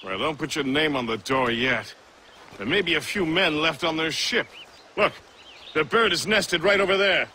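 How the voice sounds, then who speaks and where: An actor playing a cheerful, lively frontiersman in a video game. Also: a little high, moderate pace, slight background noise. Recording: game audio with music behind.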